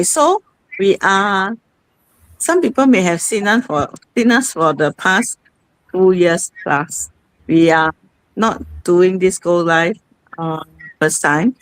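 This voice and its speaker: A woman's voice: fairly low pitch, no echo, poor audio. A woman, hosting a casual live-stream sharing, chatty and repeating herself, often saying "okay". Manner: chatty, repeats itself, says "okay" a lot. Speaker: host.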